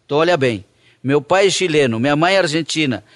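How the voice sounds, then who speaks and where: A middle-aged man from Brazil, chatting quickly and warmly as a guest singer into a stand mic in a rustic studio set. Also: a little high, expressive. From southern Brazil. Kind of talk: casual interview chat. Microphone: stand mic in front of the face. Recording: guitar music behind the voice. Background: acoustic guitar playing.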